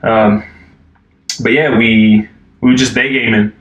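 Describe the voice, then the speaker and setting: A man's voice: fairly low pitch, steady tone, a little slow, highs cut off. A man in his twenties from Albania, a podcast guest chatting casually and loosely, full of fillers. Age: 20s. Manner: laid-back, rambling, lots of fillers and "like". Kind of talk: casual chat. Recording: echoey room, faint noise.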